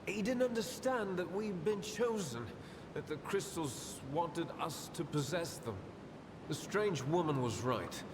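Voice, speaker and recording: A man acting a fervent, obsessed young acolyte in a video game, speaking with dreamy, halting reverence. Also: animated. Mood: defiant fervour. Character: obsessed young acolyte, crystal-mad killer, fervent and haunted. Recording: long cavernous reverb, loud rumbling noise.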